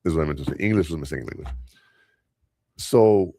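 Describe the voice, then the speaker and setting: A deep-voiced man with a gravelly edge hosts a casual podcast. Spanish is his first language. He rambles through stories from his work, chuckling here and there. The sound is dry and close, with no room echo. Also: fast, mellow. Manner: rambling, anecdotal, chuckles often. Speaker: podcast host and school teacher.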